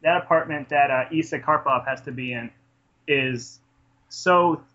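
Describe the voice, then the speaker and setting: A man's voice: fairly high pitch, measured pace, bright, forceful tone. A man casually reviewing a film in conversation, enthusiastic and rambling, often restarting his sentences. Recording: dull lo-fi podcast audio.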